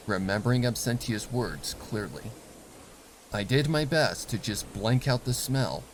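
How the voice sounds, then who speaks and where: A man's voice: on the low side, a little hiss or hum, rough recording. A man reading fan fiction as a scripted, dramatic narration with a grave, suspenseful delivery.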